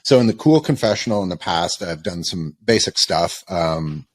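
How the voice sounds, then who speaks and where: A man with a very low voice, hosting a podcast in a studio. He is from Canada and talks with lively energy, joking and confessing to his guests in casual chat. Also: moderate pace. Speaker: podcast host.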